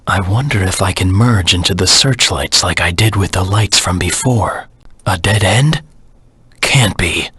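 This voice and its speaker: Brooding video game antihero, very husky growl, hard-edged and forceful, terse, grim, menacing, vengeful. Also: male voice, low voice, no echo, full character voice. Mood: pensive, wary. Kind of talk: video game voice acting, dramatic monologue.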